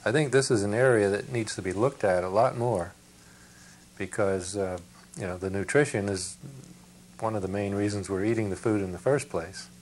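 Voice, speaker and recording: Older man, panel guest, thoughtful, measured, hesitant, lapel mic, low voice, faint hiss. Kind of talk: studio panel discussion. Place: TV studio set, indoors.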